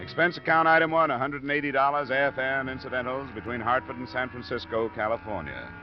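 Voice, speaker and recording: A man's voice: medium pitch, fast talker, natural voice. An actor playing a cool, wry insurance investigator who narrates his case in clipped, matter-of-fact 1950s radio detective style. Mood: crisp, matter-of-fact. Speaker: lead actor and first-person narrator. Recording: muffled old radio recording with music.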